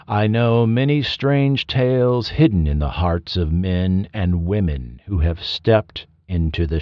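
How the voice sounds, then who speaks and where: An actor playing a sinister, all-knowing night walker in old-time radio style, ominous and portentous. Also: male voice, sits low, dry recording, dull audio. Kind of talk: 1940s radio acting.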